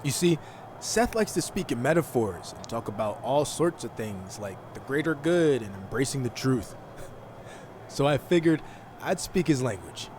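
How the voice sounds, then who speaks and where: An actor playing a defiant, cocky wrestling hero bent on revenge, giving a taunting, confident promo in a video game cutscene. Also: male voice, mid-range voice, sing-song, unhurried, noisy background.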